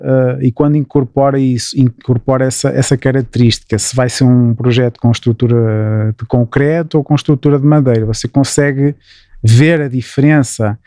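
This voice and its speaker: Man, porto portuguese accent, middle-aged, mellow tone, dry close sound, explanatory, lots of restarts. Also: mid-range voice, no echo. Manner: explanatory, frequent "né" tags and restarts. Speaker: guest engineer. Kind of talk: interview answers.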